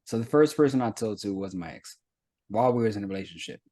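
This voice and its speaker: A man in his thirties from the United States shares a personal story on a chat show. His voice sits fairly low, and he speaks earnestly and reflectively, pausing to search for words. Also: lively intonation, dark tone. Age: 30s. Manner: earnest, reflective, halting with fillers. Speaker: guest. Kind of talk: candid personal testimony.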